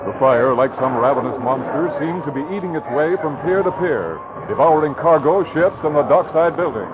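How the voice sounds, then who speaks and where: A man narrating and announcing in dramatic 1940s radio style, booming and theatrical. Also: expressive, normal speed. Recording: tinny noisy old radio broadcast.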